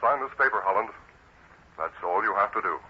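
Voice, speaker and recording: An old, slightly noisy radio recording of a male actor as a masked vigilante. He is stern and commanding, speaking quickly with lively, clipped delivery. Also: fairly low pitch, highs cut off, natural voice. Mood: hard threatening. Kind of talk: old-time radio crime drama acting.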